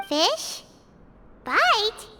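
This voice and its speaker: A woman voicing a small, excitable, childlike female companion sprite in a video game, with a squeaky voice and blunt manner. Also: extremely slow, heavily acted. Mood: puzzled. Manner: speaks of self in third person, blunt, indignant. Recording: loud noise behind the voice.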